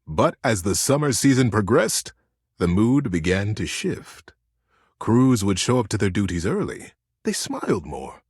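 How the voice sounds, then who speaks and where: A man reads a storybook tale with earnest drama. His pitch sits in a middle register but swings widely from phrase to phrase. The voice is slightly rough rather than polished, and it sounds close and dry. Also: no room sound. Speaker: narrator. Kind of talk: expressive storybook narration.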